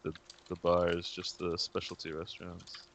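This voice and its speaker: A man's voice: low voice, completely flat delivery, normal speed, vocal fry, fairly bright tone, slight background noise. A man chatting casually as a player in a tabletop game. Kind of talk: casual chat. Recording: voice chat with faint keyboard clicks.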